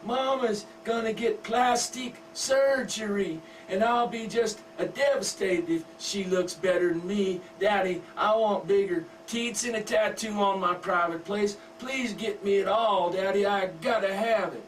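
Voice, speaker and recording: A man voicing a spoiled, brash teenage country girl who whines and pleads in a high voice. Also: unhurried, hardly any pauses. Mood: whining. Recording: distant mic with faint hum.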